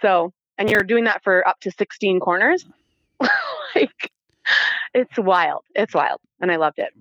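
A Canadian-accented woman telling stories casually as an interview guest, animated and informal, acting out bits of dialogue as she goes. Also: mid-range voice, unhurried, no room sound, muffled recording. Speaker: interview guest, former Olympic athlete. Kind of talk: casual conversational storytelling.

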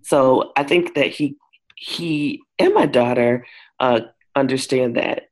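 Deep-voiced woman, frank and expressive. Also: slow pace. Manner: frank and expressive, switches into lively quoted voices. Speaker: guest, works with couples. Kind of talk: candid conversational interview.

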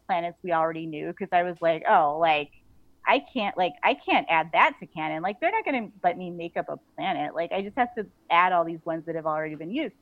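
Animated woman guest, dull dry recording. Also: lowish voice, no room sound. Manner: animated storytelling, quotes imagined dialogue, giggly. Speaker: author, interview guest. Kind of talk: casual podcast interview.

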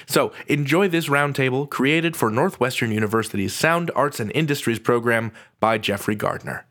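A man's voice: medium pitch, moderate pace, fairly bright tone. A man hosting a podcast, reading a scripted introduction with a playful, wry manner. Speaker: podcast host.

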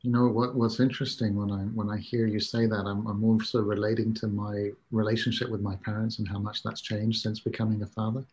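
A man in his thirties from Singapore hosts the conversation in a fairly low voice. He is earnest and halting, stumbling over repeated words as he works toward what he means. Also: little pitch movement, a little mellow. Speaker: host of a parenting talk show, new father. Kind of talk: casual conversation.

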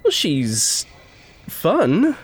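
A man's voice: on the high side, huge pitch swings, drawn-out words, breathy edge, heavily acted. An actor playing an awkward, earnest, reserved young man in a fiction podcast, speaking naturally with hesitant, careful, formal wording. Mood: awkward, unsure.

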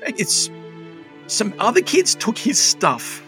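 A man's voice: sits high, wildly swooping pitch, slow, bright, forceful tone, soft background music. A man playing a flustered, rambling town crier who keeps oversharing about his wife leaving. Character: flustered middle-aged male town crier, abandoned husband. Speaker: game master voicing a townsperson. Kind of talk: improvised tabletop roleplay.

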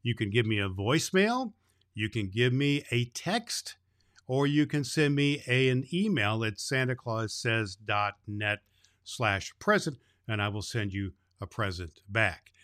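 A man's voice: medium pitch, animated, measured pace, a bit bright. A man playing a kindly old Santa Claus who hosts a children's Christmas show, speaking cheerfully and emphatically in a folksy, fatherly way. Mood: warm, encouraging. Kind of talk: storytelling and chat addressed to children.

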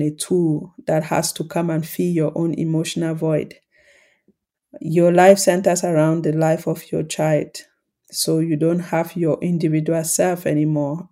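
A woman from Cameroon, hosting her own podcast as a coach and explaining family patterns in a calm, reflective way. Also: sits low. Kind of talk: solo podcast talk.

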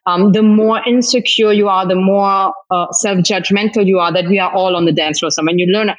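A woman's voice: mid-range voice, fairly even intonation, brisk, poor audio. A woman with a German accent, talking openly and reflectively as a guest on a podcast, with many restarts and fillers. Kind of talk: podcast conversation.

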